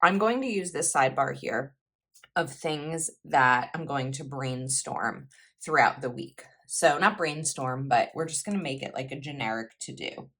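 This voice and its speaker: Planner vlogger, young woman, low voice, slightly froggy, rambling and self-correcting. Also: animated, a little slow, fairly bright tone, slightly rough. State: slightly froggy voice from heavy talking. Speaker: planner YouTuber. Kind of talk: casual planner vlog chat.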